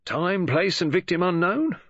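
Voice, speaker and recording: A man's voice: sits high, animated, unhurried, fairly bright tone, breathy edge, no echo, muffled recording, voice pushed up, heavily acted. Man doing a brusque police officer. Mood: sardonic. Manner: teasing, clipped, disapproving.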